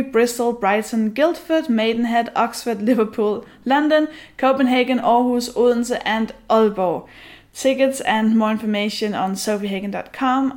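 Female comedy co-host, voice on the high side, casual bantering delivery. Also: a little mellow. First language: Danish.

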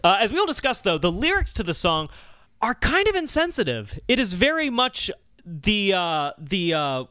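A man hosting a podcast, explaining things in a casual, animated and wry conversational way. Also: high voice, moderate pace, smooth, no echo, clean studio sound. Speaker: podcast host.

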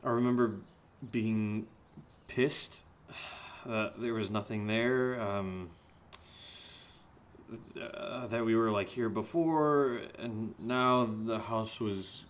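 An actor playing a dazed, sarcastic young man in a naturalistic horror audio drama, speaking haltingly with sighs. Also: fairly low pitch. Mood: dazed, hesitant. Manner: halting, hesitant, sighing.

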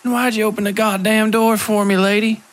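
A man's voice: sits high, little pitch movement, fairly quick, a bit bright, pitched above the performer's own voice. A man voicing a blunt, tired, impatient woman in a horror audio story, curt and exasperated. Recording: clear music behind the voice.

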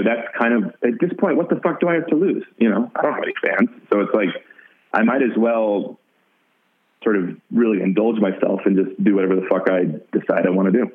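Raspy man, musician guest, halting delivery with lots of restarts; tinny phone-line sound. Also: on the low side. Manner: halting, full of restarts and fillers. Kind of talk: casual interview talk.

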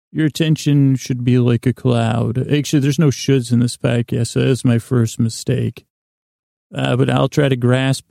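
Guy with a super creaky mellow voice, kinda monotone, rambling bedtime podcast host, trips over his words. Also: medium pitch, no echo, studio quality. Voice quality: gravelly, creaky voice. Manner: meandering, halting, stumbling over words. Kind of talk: rambling bedtime story monologue.

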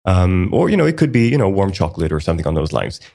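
A man co-hosting a casual podcast, explaining at length in a relaxed way with many ums and restarts. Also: low voice, fast, creaky. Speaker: podcast co-host.

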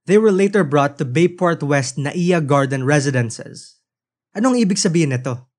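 A man's voice: fairly high pitch, expressive, normal speed, a little mellow. A man with a Filipino accent narrating a news podcast from a script, with conversational asides. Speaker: podcast host and reporter.

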